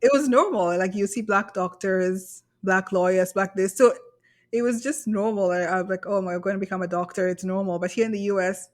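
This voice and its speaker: A woman's voice: mid-range voice, steady tone, a little fast. A woman from Kenya, co-hosting a podcast in casual conversation, candid and self-deprecating about herself. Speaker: podcast co-host.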